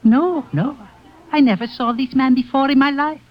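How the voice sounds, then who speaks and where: A man's voice: extremely high pitch, normal speed, over loud music. An actor playing a frightened, hesitant old man in a 1950s radio drama. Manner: nervous, hesitant. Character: frightened, quavering old man denying everything. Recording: dull vintage radio recording.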